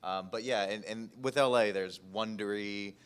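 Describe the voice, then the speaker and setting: Deep, smooth-voiced American man. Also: steady tone, moderate pace, fairly bright tone. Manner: informal, conversational. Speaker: podcast producer and panelist. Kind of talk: casual panel discussion.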